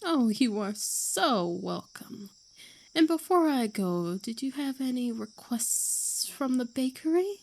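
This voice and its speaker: A woman playing a calm, hypnotic creature who helps her friend, speaking slowly and soothingly in an ASMR roleplay. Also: sits high, heavily acted. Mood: friendly, gracious. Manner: slow, deliberate, soothing.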